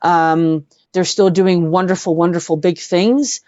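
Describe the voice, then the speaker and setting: A middle-aged woman with a western Canadian accent, telling personal stories as an interview guest in an earnest, halting way, repeating words for emphasis. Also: fairly low pitch, steady tone, a little mellow, dry recording, highs cut off. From Edmonton, Canada. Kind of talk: personal storytelling in a live online interview.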